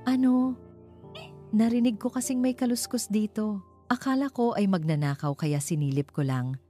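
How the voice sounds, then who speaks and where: A woman's voice: medium pitch, normal speed, warm tone, voice pushed up, close to an everyday voice. A woman voicing a shifty, deceitful female neighbour, the villain of a storybook tale. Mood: startled, evasive. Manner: stammering excuses, veiled threats. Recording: music behind the voice.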